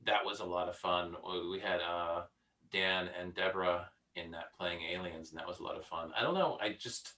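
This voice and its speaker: A man, a voice actor, chatting casually in a halting, rambling way. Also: deep voice, pushed and bright, far from the mic, rough recording. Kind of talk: casual chat.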